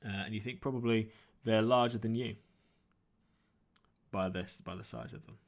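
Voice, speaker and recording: Male game master, lowish pitch, tabletop role-play narration, conversational, improvised, halting phrasing. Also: fairly even intonation, gravelly.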